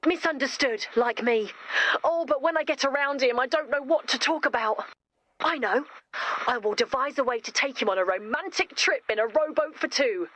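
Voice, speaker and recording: A woman voicing a gentle, lonely monster's diary in a video game, earnest and dramatic. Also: sits high, normal speed, no room sound, low-quality recording. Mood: dreamy, smitten. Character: gentle, lonely female monster reading her secret diary, wistful.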